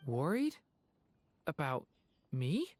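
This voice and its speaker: An actor playing a gentle, earnest young dragon boy in a video game, with a youthful voice and a soft-hearted, hesitant manner. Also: mid-range voice, very animated, slow. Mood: touched, apologetic. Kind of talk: video game story dialogue. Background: background music.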